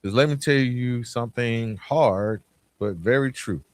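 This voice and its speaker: A man's voice: mid-range voice, measured pace. Emphatic American man, motivational podcast host. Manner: emphatic, colloquial, direct address with rhetorical questions and repetition. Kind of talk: motivational solo podcast talk.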